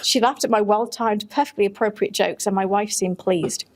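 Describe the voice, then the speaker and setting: A woman's voice: medium pitch, steady tone, normal speed. A woman co-hosting a podcast in a casual, conversational style, chatting and reading stories aloud. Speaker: podcast co-host.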